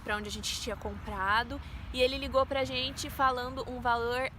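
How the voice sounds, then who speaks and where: A woman's voice: high voice, fairly even intonation, normal speed, a little hiss or hum. A woman in her twenties with a Brazilian Portuguese accent, earnestly telling viewers a personal faith testimony. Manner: earnest, storytelling, addresses viewers as "gente". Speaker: vlogger.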